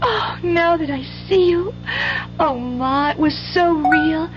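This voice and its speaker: A woman's voice: sits high, slow pace. An actress playing a frightened, dreamy young woman in an old-time radio drama, breathless and distraught. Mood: shaken, tearful. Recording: muffled, faintly noisy old radio recording.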